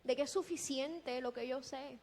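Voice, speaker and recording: A woman preaching a sermon in a deliberate, emphatic way, posing rhetorical questions. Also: sits high, a little mellow. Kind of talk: sermon with scripture exposition. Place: large echoing church hall. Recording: long reverberant hall sound.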